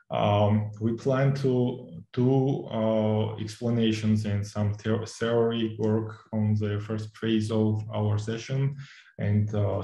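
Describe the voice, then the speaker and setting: A young man with a Georgian accent speaks in a low, mellow voice. He stops and restarts often and fills gaps with "uh", and the room around him is echoey. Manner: hesitant, many fillers and restarts, laughs often. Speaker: instructor. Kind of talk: interview answers.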